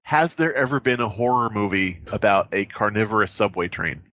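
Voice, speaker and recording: A man's voice: on the low side, fairly quick, pushed and bright, no echo. A man hosting a podcast in casual, joking, profane comedic chat. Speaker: podcast host.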